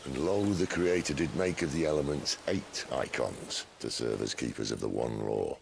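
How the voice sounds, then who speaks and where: An actor playing a wry, world-weary male rebel leader in a video game, speaking in a dry, teasing, unhurried way. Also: very deep voice, vocal fry, a little hiss or hum, exaggerated voice.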